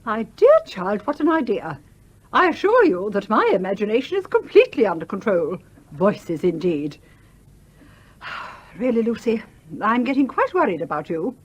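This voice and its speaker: An actress in a radio play playing a bossy, self-pitying sister-in-law, patronising, fretful and easily affronted. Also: female voice, medium pitch, sing-song, moderate pace, slightly rough, dull audio. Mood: patronising concern. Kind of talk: theatrical radio play acting.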